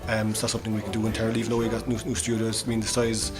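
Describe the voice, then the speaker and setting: An Irish man gives interview answers at a brisk clip with little melody in his voice. He often stammers and repeats words as he goes. Loud music plays behind him. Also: fairly low pitch, completely flat delivery. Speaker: community radio development officer.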